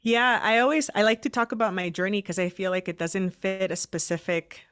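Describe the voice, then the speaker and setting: A woman's voice: mid-range voice, fairly quick, fairly bright tone, smooth. A woman from El Salvador, a nonprofit director guesting on a podcast, telling her story fluently and confidently. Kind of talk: casual interview.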